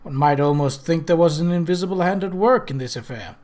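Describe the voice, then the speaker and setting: Brusque period doctor, male, read by a male narrator: sardonic, commanding, emphatic, pitched a little above plain narration. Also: fairly quick, darkish tone, natural voice.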